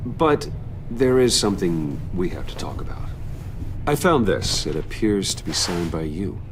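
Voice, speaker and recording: Serious rich hero in a game, deep voice, earnest, firm, very expressive pitch. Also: male voice, unhurried, slight background noise. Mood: earnest, defensive. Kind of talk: video game voice acting.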